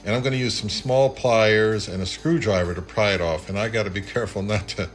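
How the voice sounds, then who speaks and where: Low-voiced man, hands-on repair narration. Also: brisk, soft background music. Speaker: repair tutorial presenter. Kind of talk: casual hands-on how-to narration.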